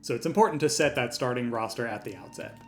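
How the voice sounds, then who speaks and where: A man hosting a software tutorial, talking through each step in an informal, explanatory way. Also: medium pitch, fast, faint music behind. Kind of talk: step-by-step screen tutorial narration, conversational. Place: voice-over for a screen recording.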